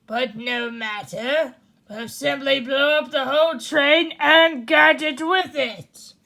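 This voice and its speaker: A woman's voice: sits high, slow pace, creaky, pushed and bright, full character voice. A woman putting on the voice of a gloating male cartoon villain in a bedtime story reading. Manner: snickering, gloating.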